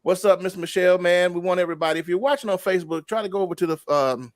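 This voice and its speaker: A man's voice: sits high, racing, no echo. A man hosting a casual livestream talk show, chatty and greeting viewers by name. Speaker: show host.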